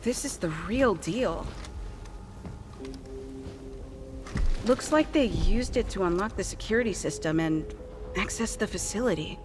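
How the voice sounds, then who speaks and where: A woman's voice: mid-range voice, expressive, normal speed, background noise, lightly acted. An actress playing a tense, sardonic young female agent and scientist in a video game. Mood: focused.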